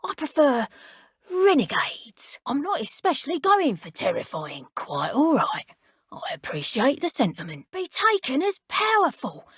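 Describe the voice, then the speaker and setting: A woman playing a self-important, bossy dark witch in a video game, theatrical and easily exasperated, with a British accent. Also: high voice, huge pitch swings, unhurried, dry recording, clean studio sound, exaggerated voice.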